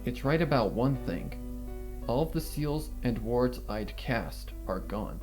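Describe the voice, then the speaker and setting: A man narrating a dark first-person fan fiction story in a brooding, deliberate, dramatic way. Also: mid-range voice, animated. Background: guitar music.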